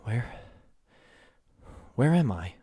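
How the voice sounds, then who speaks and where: A man voicing a young giant princess who is proud and quick-tempered, his voice pushed up high as she stammers in indignation. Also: a little mellow, breathy edge, no echo, clean studio sound, full character voice. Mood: bewildered. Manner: pitched far up, stammering, indignant.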